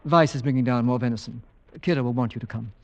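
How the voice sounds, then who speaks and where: An actor playing a devoted, determined husband and hunter, earnest and courtly in theatrical old-time radio horror style. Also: male voice, mid-range voice, quick pace, natural voice. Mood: earnest, troubled. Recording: dull vintage radio recording.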